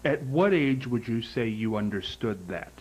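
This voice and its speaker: A man's voice: mid-range voice, animated, a little slow, poor audio. A man with an American accent hosting an interview show, speaking in formal introductions and direct questions. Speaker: talk show host, interviewer.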